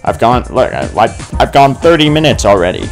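A man's voice: mid-range voice, very animated, normal speed, creaky, faint music behind. A man giving casual gaming commentary in a rambling, hesitant, informal way. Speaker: let's play gamer.

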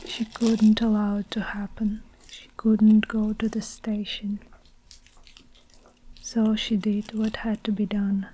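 A young woman narrating an ASMR story in a hushed, deliberate way, often whispering, close on a lapel mic. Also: medium pitch, completely flat delivery, slightly rough, poor audio. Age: young adult. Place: small indoor room with curtains.

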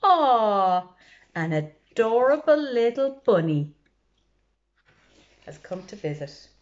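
A woman's voice: fairly high pitch, sing-song, slow pace, highs cut off. A woman voicing a bossy, condescending male vampire who runs a club, in a children's storybook. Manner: condescending, exasperated, emphatic.

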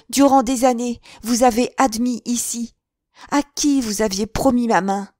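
A woman's voice: no echo, studio quality, close to an everyday voice. Young noblewoman acted by a woman reader, fierce, raised voice, desperate. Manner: high, loud and vehement.

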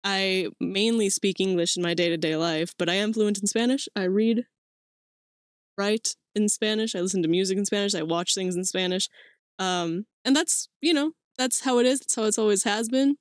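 Casual solo podcaster in her twenties, from Mexico; mid-pitched, chatty and rambling, close dry room sound. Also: moderate pace, no echo. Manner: chatty, rambling with fillers and laughs. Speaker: podcast host. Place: small cluttered room indoors.

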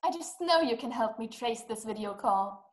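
A woman's voice: on the high side, lively intonation, normal speed, natural voice. A young woman playing a distraught, imperious invalid wife in a play, speaking with dramatic, emphatic, formal diction. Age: young adult. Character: distraught, imperious, self-pitying invalid wife, desperate and impatient. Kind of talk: theatrical stage-play acting over video call. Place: small dim room at home. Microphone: webcam video call.

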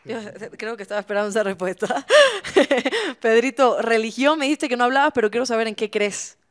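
Smooth-voiced woman host asking questions. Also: fairly high pitch, fairly quick. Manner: brief affirmations, warm short questions. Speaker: interview host. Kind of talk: intimate interview questions. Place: small decorated studio set. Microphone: stand mic.

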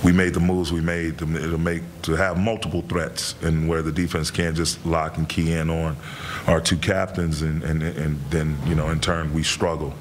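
A middle-aged man, a head coach, answering reporters' questions after a game in a measured way, with many fillers and repeated words. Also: very deep voice, little pitch movement, a little hiss or hum. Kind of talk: postgame press conference answers. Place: press room in front of a sponsor backdrop.

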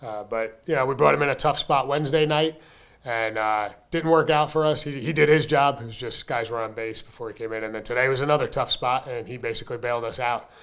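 A man in his thirties speaks into a desk microphone at a postgame press conference. He answers as a baseball coach, candid and conversational, with plenty of "um" and "you know". His voice sits at an ordinary middle pitch and rises with energy on key points. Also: fast talker, clear, resonant tone. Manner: candid, conversational, frequent fillers, energetic emphasis. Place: press room in front of a sponsor backdrop. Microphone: desk mic on a stand.

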